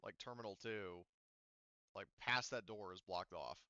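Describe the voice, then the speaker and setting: A man working as game master, talking casually and wordily, thinking aloud and halting as he narrates a tabletop game. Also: low-pitched, bright, forceful tone, dry recording, highs cut off.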